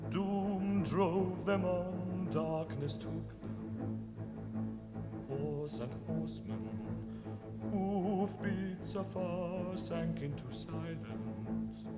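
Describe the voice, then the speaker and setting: An actor performing as a stately bard, half singing an ancient war lay in a radio drama. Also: male voice, fairly high pitch, extremely slow. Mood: grave. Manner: chanting, half-sung, stately. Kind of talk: sung verse narration in radio drama. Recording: reverberant hall, loud orchestral music. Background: orchestral music.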